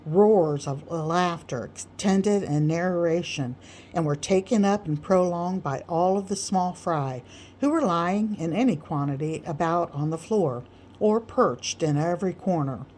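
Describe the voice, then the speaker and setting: A woman narrating a literary story aloud as an audiobook reader, with measured, deliberate phrasing. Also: fairly low pitch, lively intonation, a little mellow, slight background noise.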